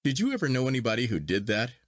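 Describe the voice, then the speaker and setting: A man voicing a curious, self-assured younger gentleman in a storybook reading, exclamatory and inquisitive. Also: medium pitch, sing-song, rapid-fire, no room sound, clean studio sound. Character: eager, curious younger gentleman, excitable and sure of himself. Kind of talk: acted dialogue in storybook reading.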